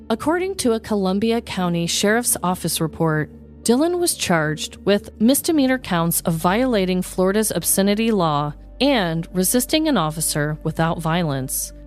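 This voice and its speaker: A woman's voice: medium pitch, a little mellow. A woman podcast host reading a scripted, comedic true-crime story as narrator.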